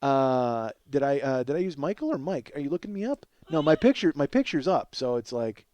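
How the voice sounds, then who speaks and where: A man's voice: medium pitch, big pitch swings, a little fast, a little mellow, dull audio. Rambling, profane comic podcast host, male. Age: middle-aged. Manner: profane, self-deprecating, exclamatory. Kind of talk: rambling casual comic monologue with plugs.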